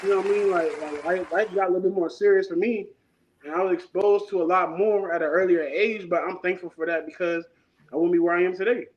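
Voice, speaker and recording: A man's voice: high voice, fairly even intonation, fast talker. A man in his thirties, a guest artist talking in a laid-back, rambling, slangy way in a casual interview. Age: 30s. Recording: dull lo-fi sound.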